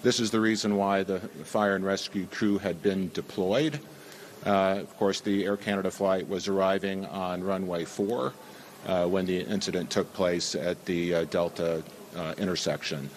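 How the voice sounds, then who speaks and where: A male official speaks at a briefing in a low, flat voice. His phrasing is halting, with faint noise in the background. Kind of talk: public briefing statement.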